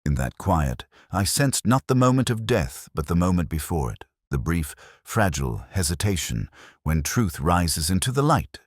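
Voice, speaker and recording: An older police detective played by a man narrates his notebook in a very low, deep voice. His delivery is solemn and measured, with a widely moving intonation. It is brooding, literary mystery-drama storytelling, recorded close and dry. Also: very animated, husky, no room sound, heavily acted. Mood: solemn, wistful. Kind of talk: scripted first-person mystery narration.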